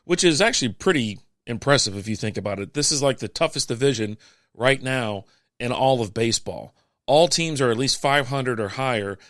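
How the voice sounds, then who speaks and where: A man hosting a podcast, reading out sports standings in a casual, chatty way with offhand quips, his voice going hoarse. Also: mid-range voice, a bit bright, dry recording, clean studio sound. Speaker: podcast host.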